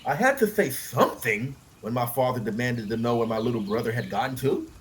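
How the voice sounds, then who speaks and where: A man's voice: mid-range voice, lively intonation, fairly quick, a little hiss or hum, lightly acted, low-quality recording. A man voicing a weary, wine-loving young prince in an audiobook, wry and unbothered. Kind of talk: audiobook character acting.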